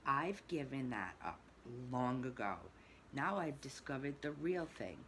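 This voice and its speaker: Woman doing a bragging storybook toad, loud and gushing, lively sing-song delivery. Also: rumbling low, unhurried, a little hiss or hum, heavily acted. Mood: exuberant. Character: boastful excitable rich male toad.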